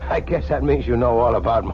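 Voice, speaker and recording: An actor playing a nervous, evasive American office rival in a radio thriller, stammering and apologetic. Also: male voice, medium pitch, close to an everyday voice. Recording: muffled old radio recording, music behind.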